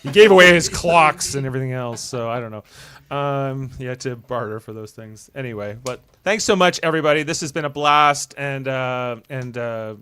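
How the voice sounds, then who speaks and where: A man hosting a casual group podcast chat, speaking in a halting, rambling way. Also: medium pitch, pushed and bright, clean tone. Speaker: host of a fan script-reading podcast.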